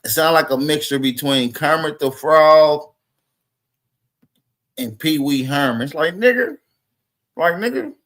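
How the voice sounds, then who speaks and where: A man's voice: fairly high pitch, measured pace, pauses often, fairly bright tone. A man co-hosting a livestream, adding sarcastic, mocking commentary about callers.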